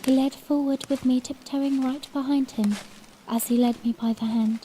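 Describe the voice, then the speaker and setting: A woman's voice: high-pitched, fairly even intonation, moderate pace, dark tone, a little hiss or hum, natural voice. A woman playing a bashful young princess, telling her own story tenderly and earnestly in a fan-made audio drama. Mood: wondering, tender.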